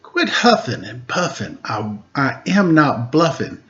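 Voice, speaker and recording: A man voicing a confident female ninja pig who gives firm, measured warnings in a storybook reading. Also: mid-range voice, big pitch swings, a bit bright, highs cut off. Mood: stern warning.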